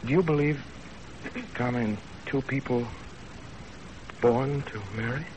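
A man's voice: mid-range voice, a little slow, background noise, close to an everyday voice. An actor playing an earnest young man in love, in a 1930s radio drama. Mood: pleading, urgent. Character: earnest lovesick young man narrating his romance. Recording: old 1930s radio recording with music.

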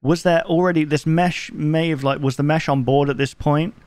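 A man's voice: on the high side, steady tone, normal speed, a little mellow, clear, resonant tone, dry recording. A man in his twenties giving casual reaction commentary as a YouTube host, close to a desk microphone in a bedroom. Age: 20s. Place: bedroom, indoors.

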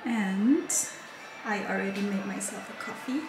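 A woman's voice: medium pitch, unhurried, over music. A young woman casually telling viewers about her trip and showing them around, recorded on a handheld phone. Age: young adult. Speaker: travel vlogger. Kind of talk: casual travel vlog. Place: outdoors at a cafe and indoors in a rented apartment. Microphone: handheld phone camera. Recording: echoey, with loud background noise.

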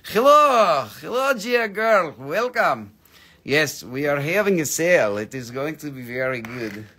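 A man's voice: fairly high pitch, sing-song, measured pace, bright, forceful tone, heavily acted. A man voicing a prim, over-formal foreign host, speaking in stilted phrases. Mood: grand. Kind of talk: live-stream sales banter.

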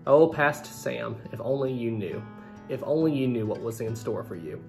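A man's voice: medium pitch, moderate pace, gravelly, soft background music. Chatty young man recounting a trip. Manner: animated, conversational, addresses viewers directly. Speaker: travel vlogger. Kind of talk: storytelling to camera. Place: small room indoors.

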